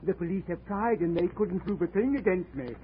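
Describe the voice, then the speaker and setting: A man's voice: high-pitched, a little fast, slight background noise, natural voice. A man playing a bitter, scheming murder suspect in a 1940s radio drama, sneering and defiant. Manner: sneering, defiant, panics when cornered. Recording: tinny old radio broadcast recording.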